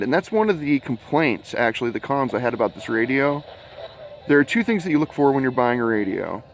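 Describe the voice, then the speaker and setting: A man with an average-pitched voice presents a gadget review. He speaks casually and chattily to his viewers. His explanations are informal and tend to ramble into detail.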